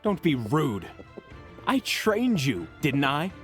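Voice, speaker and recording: A man's voice: on the high side, very animated, slow, soft background music. A man playing a prickly, sarcastic male mentor in a fantasy audio roleplay, speaking in a dry, easily offended way.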